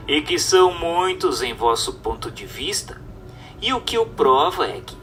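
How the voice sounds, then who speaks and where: A man's voice: mid-range voice, sharp tone, distant mic, poor audio. A man narrating a scripted text in a formal, didactic way with emphatic phrasing. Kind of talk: scripted reading of a spiritist text, audiobook-like.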